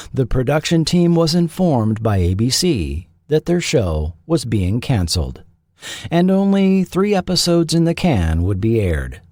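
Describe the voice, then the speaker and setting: Slow-paced male narrator in a dry studio. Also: medium pitch, a little mellow, no echo. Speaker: podcast host and narrator. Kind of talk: scripted documentary narration.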